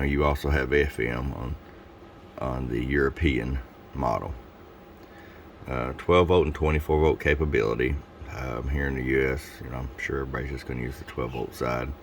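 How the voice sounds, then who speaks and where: Very deep-voiced man demonstrating gear. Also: fairly even intonation, moderate pace, slight background noise. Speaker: radio seller presenting a product demo. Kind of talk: casual product review and demonstration.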